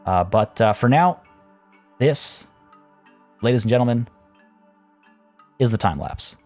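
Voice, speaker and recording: A man hosting a let's play, rambling through his building steps and chatting with viewers, a little hoarse. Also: mid-range voice, lively intonation, slow, a little mellow, faint music behind. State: losing his voice. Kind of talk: casual gameplay commentary.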